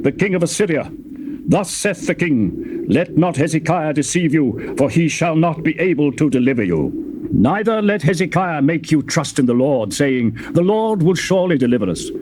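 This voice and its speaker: A man's voice: on the high side, noisy background, close to an everyday voice. A man playing a boastful, menacing Assyrian envoy in a dramatized scripture recording, speaking in taunting, scornful oratory. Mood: proclaiming.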